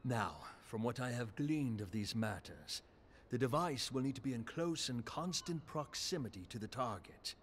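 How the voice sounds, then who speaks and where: An actor playing a shrewd, deferential military marshal in a fantasy game, speaking formally, deliberately and courteously. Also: male voice, medium pitch, animated, slight background noise, lightly acted. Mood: courteous, assured. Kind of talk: fantasy video game cutscene acting.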